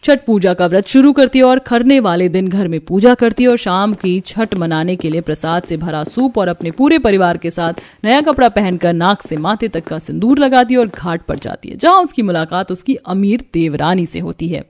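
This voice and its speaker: A woman narrating a cartoon story in a calm, steady storybook style. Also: normal speed, hardly any pauses. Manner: calm, steady, lower than her character voices.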